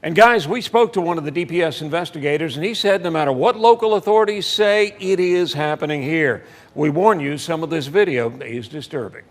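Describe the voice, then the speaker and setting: High-pitched American TV reporter, noisy audio. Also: male voice, a little fast. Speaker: TV reporter and auto reviewer. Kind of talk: scripted news reporting and car reviews.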